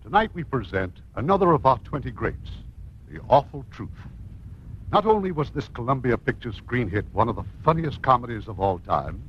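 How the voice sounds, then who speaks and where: A man's voice: medium pitch, sing-song, moderate pace, highs cut off, a little hiss or hum. A man hosting and narrating a 1950s radio theatre broadcast in a scripted, presentational style. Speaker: producer and host introducing a radio play.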